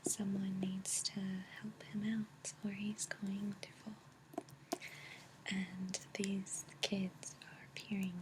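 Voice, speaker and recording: A woman speaking softly in a breathy near-whisper, gently and unhurriedly, as an ASMR host reading and chatting. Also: medium pitch, monotone, takes it slowly, low-quality recording. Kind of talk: soft-spoken ASMR reading and chat. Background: page turning, tapping, clicks.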